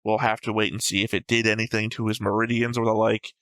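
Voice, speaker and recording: A man's voice: on the low side, flat delivery, quick pace, fairly bright tone, dry recording. A man co-hosting an anime reaction show, talking through episode notes casually and bluntly, with some swearing.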